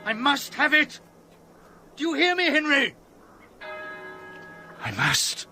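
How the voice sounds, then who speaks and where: A man's voice: very high voice, wildly swooping pitch, takes it slowly, pushed and bright, faint music behind, exaggerated voice. An actor playing an obsessive, insistent male doctor in a melodramatic radio drama style. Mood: desperate, insistent. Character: obsessive, desperate male doctor hungry for a forbidden book.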